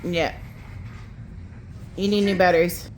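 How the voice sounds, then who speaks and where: A woman's voice: on the low side, steady tone, takes it slowly, darkish tone, a little hiss or hum, low-quality recording. A woman casually asking questions as an interviewer, prompting and encouraging the other speaker. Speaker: off-camera interviewer.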